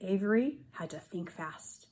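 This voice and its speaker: Slow-paced woman reading to young children. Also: mid-range voice, expressive, background noise. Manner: explains asides simply to young children. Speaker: kindergarten teacher. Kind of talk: children's storybook read-aloud.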